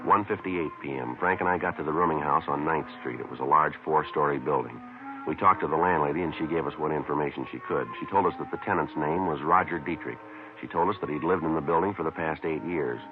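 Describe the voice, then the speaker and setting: An American actor playing a terse, deadpan police detective in a 1950s radio drama, polite and businesslike in clipped sentences. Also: male voice, rumbling low, fast talker, music in the background. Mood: matter-of-fact. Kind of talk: 1950s radio drama acting with first-person narration. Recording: narrow, noisy vintage 1950s radio recording.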